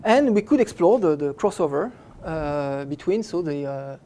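A man's voice: on the high side, animated, dark tone. A middle-aged man giving a physics lecture in a lecture hall, speaking at a lectern microphone and often stopping and restarting his phrases. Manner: halting, stops and restarts phrases often while explaining. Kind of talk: academic lecture.

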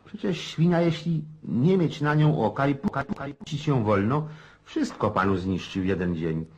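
An actor playing a blustering, self-important old countryside landowner in a radio play, rambling and folksy with a husky voice. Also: male voice, medium pitch, expressive, moderate pace, darkish tone, lo-fi sound, a little hiss or hum, close to an everyday voice. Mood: puzzled musing.

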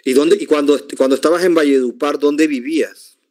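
A man's voice: a little high, a little fast, darkish tone. A man hosts an interview and keeps pressing his guest with follow-up questions. He often sums up the guest's answers in his own words. His voice is picked up by a large studio microphone in a home studio. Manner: probing, rephrasing the guest's answers. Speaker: interview host. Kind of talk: conversational interview.

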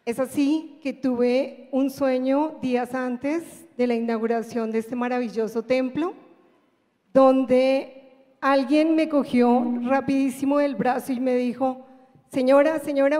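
A woman with a very high voice tells her testimony to a church congregation. She speaks as a member recounting a story of faith, and the hall throws a long echo behind her. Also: fairly even intonation, a little slow, warm tone. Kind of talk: narrative religious testimony. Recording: echoing large hall.

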